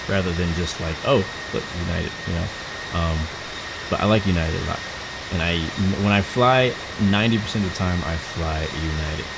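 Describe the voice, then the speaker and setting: A woman's voice: rumbling low, noisy background. A woman from Houston chatting casually to viewers during a live flight-sim stream, rambling with frequent stumbles and restarts. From Houston, Texas. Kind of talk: casual live-stream chat while flying.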